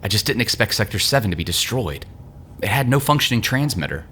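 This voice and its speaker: Low-pitched, cagey man playing a brash agent. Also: very animated, fast, bright, forceful tone, slight background noise, heavily acted. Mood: earnest, explaining. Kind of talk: audio drama acting.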